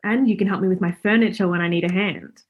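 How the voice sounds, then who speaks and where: A woman's voice: mid-range voice, steady tone, fast, dull audio, lightly acted. A woman acting a chatty, cheeky, friendly character in a radio play, speaking informally and forthrightly. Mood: cheeky, eager. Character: Laura, chatty, cheeky, friendly young woman befriending Death.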